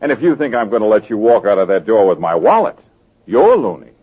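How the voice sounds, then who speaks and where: An actor playing a wry, confident male private detective in a 1940s radio drama, with a slightly husky voice. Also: lowish voice, sing-song, fairly quick. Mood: teasing. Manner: dry, teasing. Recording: tinny old radio broadcast.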